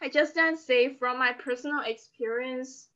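A young woman from China with a Chinese accent, a native Chinese speaker giving halting, non-native English answers as a podcast guest in casual conversation. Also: high-pitched, fairly bright tone, muffled recording.

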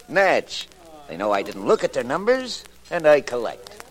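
A man's voice: fairly high pitch, big pitch swings, unhurried. A man playing a sly, menacing small-time betting hustler with streetwise slang in an old-time radio crime drama. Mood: smug.

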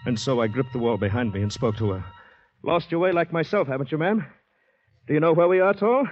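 An actor playing a panicky, polite, shell-shocked Canadian man who narrates his ordeal intensely and haltingly in a 1950s radio drama. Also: medium pitch, expressive, close to an everyday voice. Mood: nervous, polite. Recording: dull vintage radio recording, music behind.

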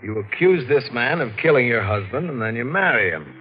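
A man's voice: mid-range voice, very animated, brisk, a little hiss or hum, natural voice. A man playing a skeptical, weary police detective in a 1940s radio drama, firm and exasperated. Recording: dull vintage radio recording.

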